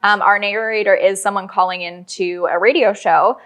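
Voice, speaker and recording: A woman's voice: medium pitch, fairly even intonation, moderate pace, a bit bright. A woman speaking as an interview guest, explaining her creative work in a conversational way with illustrative examples. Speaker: interview guest, audio drama writer.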